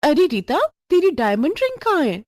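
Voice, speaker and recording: A woman puts on a nosy party guest for a storybook tale, pitched high. She is pushy and suspicious, exclaiming her way through each line. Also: sing-song, moderate pace, a little mellow, slight background noise. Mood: alarmed, suspicious. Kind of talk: moral storybook voice acting.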